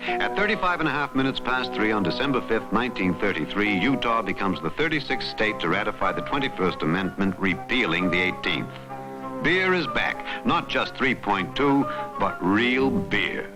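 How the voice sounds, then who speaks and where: Male narrator, American accent, animated pitch swings, emphatic newsreel style, clipped phrasing, loud music behind. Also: moderate pace, low-quality recording. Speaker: documentary narrator. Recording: vintage documentary soundtrack with loud music.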